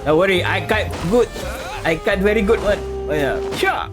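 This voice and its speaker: A man's voice: sits high, animated, normal speed. A man reacting casually as he streams a video game, in short off-the-cuff remarks. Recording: game music behind the voice.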